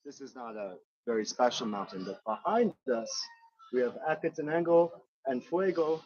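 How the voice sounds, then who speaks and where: A man from Montreal whose first language is French speaks in a middle pitch as a guest in a casual chat. He often restarts and leans on "you know" while thinking aloud. The sound is dull and lo-fi. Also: expressive, normal speed, darkish tone. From Montreal, Canada. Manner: hesitant, with repeated starts and "you know". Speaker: interview guest, bed and breakfast owner. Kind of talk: casual conversation. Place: outdoors on a balcony overlooking volcanoes.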